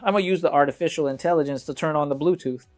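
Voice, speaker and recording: A man's voice: fairly high pitch, very fast, darkish tone, faint music behind. A man reviewing tech gadgets in a casual walkthrough, talking informally and directly to viewers.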